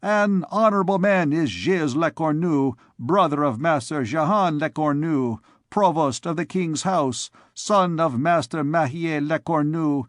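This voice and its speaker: Mischievous young male student for a storybook, played by an adult man: raised pitch, theatrical, jeering. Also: no room sound, studio quality. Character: malicious merry little imp-like young male student, mocking.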